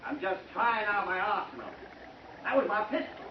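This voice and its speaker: A man's voice: sits high, lively intonation, normal speed, recorded from a distance, background noise. An actor playing a bitter, vengeful frontier gunman who is a coward at heart, taunting in a menacing drawl in a 1950s radio drama. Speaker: Patchen. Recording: dull echoey old radio recording, off-mic.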